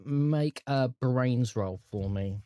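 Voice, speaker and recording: A game master narrating a tabletop roleplaying game in measured, descriptive, halting phrases. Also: mid-range voice, warm tone, clear, resonant tone, no room sound.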